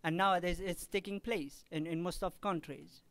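A man from Afghanistan in the audience, commenting at a conference in halting, careful English full of pauses and fillers. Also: high-pitched, fairly even intonation, a bit bright, dry recording. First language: not Arabic; Afghan language. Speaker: audience member asking a question.

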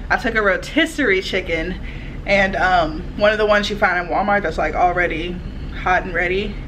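A woman's voice: lowish voice, normal speed, background noise. A young woman in her twenties chatting to her phone camera at home as a vlogger, full of fillers like "like" and "literally". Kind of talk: casual chat to camera. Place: small home, living room then kitchen, indoors. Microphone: phone camera at arm's length.

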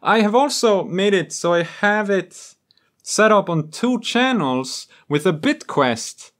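A man's voice: high voice, lively intonation, slow pace, a bit bright. A man in his thirties talking in a chatty, enthusiastic way, like a casual demo vlog, into a desk microphone in a small studio. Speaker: music gear video creator, host. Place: small home studio room full of instruments. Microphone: desk microphone on a boom arm. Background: synth music.

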